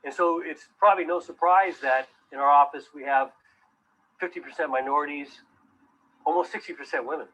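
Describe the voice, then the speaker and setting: A man's voice: on the high side, a little fast, fairly bright tone. A man who works as a public defender, speaking earnestly and steadily in a recorded testimonial, on dull lo-fi audio.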